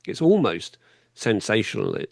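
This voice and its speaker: A man's voice: lowish voice, very animated, moderate pace, dry recording, clean studio sound. A man hosting a podcast, speaking in a conversational interview style.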